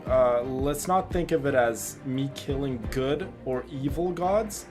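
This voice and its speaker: A man's voice: mid-range voice, takes it slowly, music in the background. A man giving casual commentary as he plays a game, repeating phrases for emphasis. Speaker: gaming streamer.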